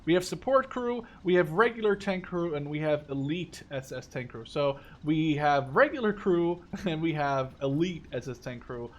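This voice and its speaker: Higher-pitched young man, casual gaming commentary. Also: expressive, moderate pace, hardly any pauses. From Netherlands. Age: 20s. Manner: rambling, mild swearing, thinks aloud. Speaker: gaming YouTuber. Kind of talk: casual unscripted game commentary.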